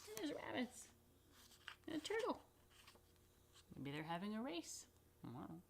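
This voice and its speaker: A woman reading a picture book aloud to children, expressively and unhurriedly, with dramatic pauses and chatty asides. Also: a little high, wildly swooping pitch, creaky, background noise. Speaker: rabbi reading a children's story. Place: indoors.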